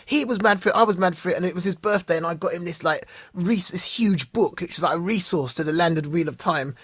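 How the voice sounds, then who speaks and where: High, husky-voiced man chatting excitedly. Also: quick pace, dry recording. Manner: excitable, laughs often, repeats words. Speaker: podcast co-host. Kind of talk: casual chat.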